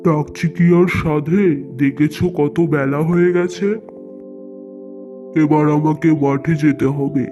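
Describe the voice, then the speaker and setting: A man voicing a poor, indebted middle-aged farmer in a cartoon, weary and humble, over loud background music. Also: on the high side, fairly even intonation, a little slow, long pauses, lightly acted. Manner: weary, pleading.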